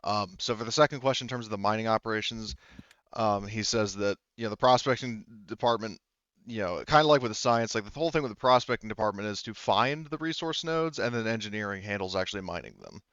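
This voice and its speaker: Halting game master, low smooth male voice. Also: fairly bright tone, no echo, dull audio. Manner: halting, informal, rephrasing as he goes. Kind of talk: improvised narration of a tabletop game, relaying a character's answers.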